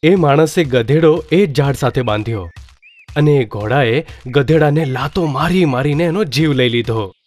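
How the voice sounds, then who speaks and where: A man narrating a children's story in a steady, plain manner. His voice sits at an ordinary pitch. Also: normal speed.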